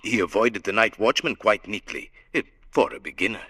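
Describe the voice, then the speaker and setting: A genteel, snobbish man in vintage radio style. His lowish voice is forceful and sharp, courtly and deferential, with obsequious hesitations. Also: a little fast, slightly rough, dry recording, clean studio sound. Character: deferential, suave gentleman go-between, genteel snob. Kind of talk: old-time radio drama acting.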